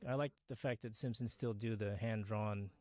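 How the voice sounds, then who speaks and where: A man's voice: on the low side, steady tone, normal speed, darkish tone. A middle-aged man, an animator, giving casual audio commentary in an earnest, explanatory way.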